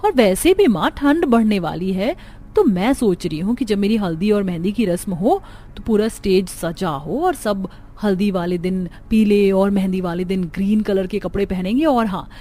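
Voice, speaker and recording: A woman's voice: medium pitch, normal speed, dark tone, lightly acted. A woman voicing a spoiled, bossy rich daughter in a Hindi moral-story cartoon. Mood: demanding. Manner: bossy, insistent. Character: spoiled, demanding rich young bride-to-be daughter.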